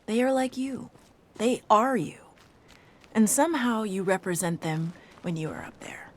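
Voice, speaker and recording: A woman performing a contemplative scripted monologue as a reflective astronaut in a game audio log, solemn and measured. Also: medium pitch, animated. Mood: solemn, awed. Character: reflective woman reciting an astronaut's awed memories.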